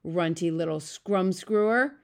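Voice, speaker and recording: Woman putting on a loud, booming brutish giant. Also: expressive, moderate pace, close to an everyday voice. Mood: scornful, bullying. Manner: booming, shouting, insulting, ungrammatical. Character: huge brutish male man-eating giant bully.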